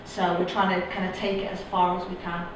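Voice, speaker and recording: A woman's voice: lowish voice, flat delivery, fairly quick. A woman talking informally as a film director on a panel. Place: indoor event room at a film festival panel. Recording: echoey room from far off, noisy.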